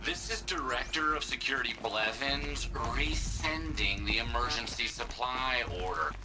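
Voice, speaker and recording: An actor playing a bullying, petty security director in a video game, delivering a curt, condescending recorded message. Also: male voice, medium pitch, lively intonation, normal speed, close to an everyday voice, poor audio. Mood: contemptuous, irritated.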